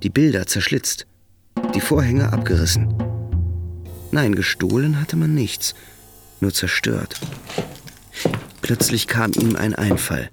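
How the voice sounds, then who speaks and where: An actor as the sober storyteller of a grim radio drama, narrating in a measured way. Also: male voice, lowish voice, lively intonation, vocal fry, over music, exaggerated voice. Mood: sober, grave.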